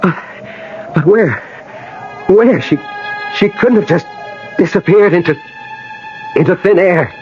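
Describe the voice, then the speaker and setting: A man's voice: high voice, slow pace, pauses often, faint music behind. A man playing an earnest American traveller in a radio drama, acting and narrating dramatically with an American accent. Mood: bewildered. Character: earnest, determined American traveller searching in Ireland. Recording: dull lo-fi vintage radio recording.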